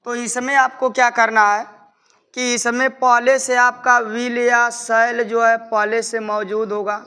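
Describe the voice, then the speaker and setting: Male Indian tutor, bright, crisp voice, step-by-step didactic delivery. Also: very high-pitched, flat delivery, unhurried. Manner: didactic, repeats instructions. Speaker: English grammar teacher. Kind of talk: instructional lesson, explaining step by step in Hindi with English terms.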